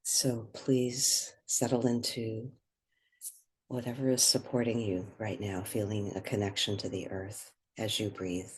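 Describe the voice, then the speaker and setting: A person guiding a meditation in a soft, breathy voice, speaking gently and soothingly with unhurried pauses. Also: mid-range voice, flat delivery. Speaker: meditation guide. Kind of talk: guided meditation.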